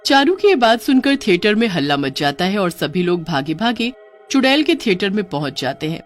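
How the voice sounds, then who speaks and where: A woman's voice: mid-range voice, sing-song, normal speed. A woman reading scripted storybook narration for a horror cartoon. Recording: music under the voice.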